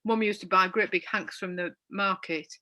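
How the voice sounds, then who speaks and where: A woman's voice: medium pitch, steady tone, moderate pace, sharp tone. A middle-aged woman with a North East English accent that drifts toward Yorkshire, chatting as a podcast co-host, teasing and incredulous, laughing often. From North East England. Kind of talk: casual chat.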